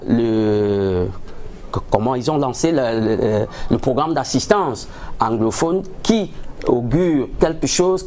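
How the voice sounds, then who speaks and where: A man answering as a political analyst in a formal, measured, somewhat hesitant way, dotted with rhetorical tags. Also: medium pitch, a little mellow, slight background noise. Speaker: political analyst, studio guest.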